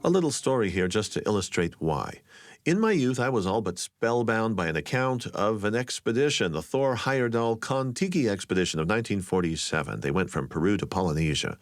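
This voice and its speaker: A man hosting a podcast, narrating scripted stories in a wry, expressive way. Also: lowish voice, brisk, no room sound, clean studio sound, soft background music. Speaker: podcast host.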